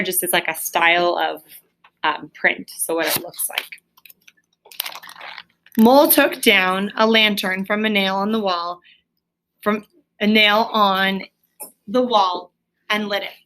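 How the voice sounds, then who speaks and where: A woman's voice: medium pitch, a bit bright, low-quality recording. A woman reading a storybook aloud as a teacher to children, explaining as she goes and prompting with questions.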